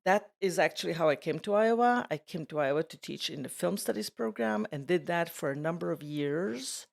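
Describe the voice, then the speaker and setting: A woman's voice: on the low side, animated, normal speed, gravelly. Older woman with a layered Slovak-Swedish accent. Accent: layered Slovak, Swedish and English. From Czechoslovakia. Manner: thoughtful, digressive, laughs easily. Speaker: interviewee, retired program editor. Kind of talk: casual reflective conversation.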